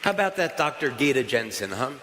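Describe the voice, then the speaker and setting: A man's voice: medium pitch, very animated, fast, a crowd in the background, background noise. An American man giving a live stage presentation at a company event, chatty and upbeat with fillers and restarts. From United States. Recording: reverberant hall sound.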